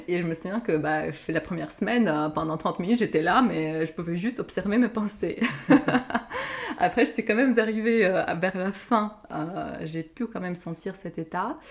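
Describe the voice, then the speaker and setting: A young woman from Ukraine, a podcast guest talking in an emotional, animated way and often laughing. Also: fairly low pitch. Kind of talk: casual interview talk.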